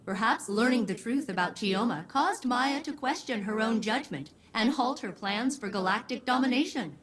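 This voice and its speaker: An actress voicing a polite, upbeat ship's artificial intelligence in a video game, precise, formal and cheerful. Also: female voice, fairly high pitch, expressive, moderate pace, natural voice. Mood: pleasant. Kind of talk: video game radio message.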